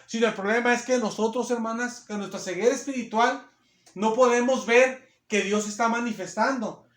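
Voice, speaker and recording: A man delivers a sermon in a very high, ringing voice. His naturally powerful voice stays partly held back, then swells into emphatic, louder stretches. The sound carries the echo of a reverberant church room. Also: steady tone, normal speed. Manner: loud, strong voice held back, rising to emphatic bursts. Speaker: preacher.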